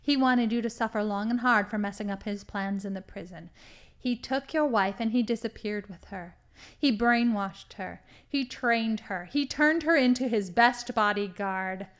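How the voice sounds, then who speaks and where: A woman playing a scheming, menacing female crime boss in an audio drama, with a deliberate, theatrical delivery. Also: a little high, lightly acted.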